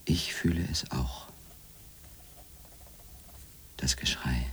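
Radio drama journalist: brooding loner, bottomless low register, slow halting delivery, noisy recording. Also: male voice, whispered. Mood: brooding, pleading. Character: obsessed, solitary male journalist writing to his wife. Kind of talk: radio drama letter monologue.

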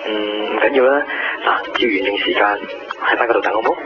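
An actor plays a teasing, jealous Hong Kong boyfriend in a radio drama. He talks quickly and casually in clipped phrases. The phone call sounds muffled, with loud music behind it. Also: fairly low pitch, natural voice. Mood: resigned.

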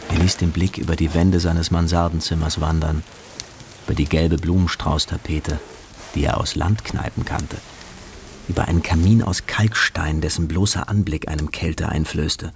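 A man acting as literary narrator of a radio drama, reading scripted prose in a measured, gravelly voice. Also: rumbling low, flat delivery, a little hiss or hum. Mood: composed.

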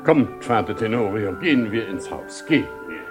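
An actor playing a proud older military commander in a 1970 German radio play, speaking with rough dignity and declamation. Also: male voice, lowish voice, expressive, moderate pace, over music, close to an everyday voice. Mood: calm. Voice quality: very husky, rough.

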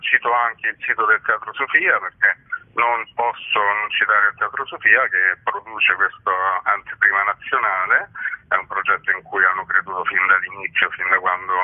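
A man being interviewed as a playwright, speaking haltingly as he thinks aloud. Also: lowish voice, steady tone. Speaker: playwright, radio interview guest. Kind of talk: spoken interview about a play. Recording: thin phone line on radio.

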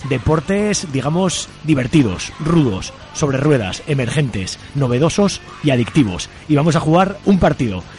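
A man's voice: a little high, very animated, normal speed, darkish tone, soft background music. A man with an accent from Spain, presenting live as the host of a radio show. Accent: Spanish from Spain. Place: radio studio.

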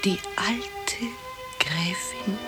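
A wistful, elderly countess in a radio play. Her voice is medium in pitch, breathy and frail. She speaks extremely slowly over loud music. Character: old countess, genteel, slow and wistful. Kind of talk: comic radio play acting.

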